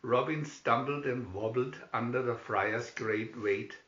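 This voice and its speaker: Male storybook narrator: bright, theatrical, measured pace, slightly dull echoey recording. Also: mid-range voice.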